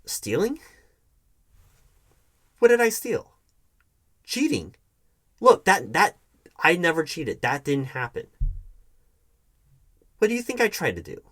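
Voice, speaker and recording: Man playing a defensive, wounded ex with swooping pitch. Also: wildly swooping pitch, a bit bright, exaggerated voice. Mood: indignant. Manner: defensive, halting, repeats the other's accusations as questions. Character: defensive, wounded male hiring manager arguing with his ex. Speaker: roleplay performer. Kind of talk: one-sided audio roleplay, conversational acting.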